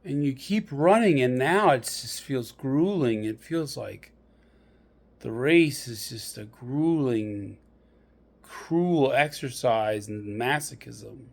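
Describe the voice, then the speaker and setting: A man narrating a guided meditation in a deliberate, measured storytelling manner with pauses. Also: mid-range voice, expressive, vocal fry.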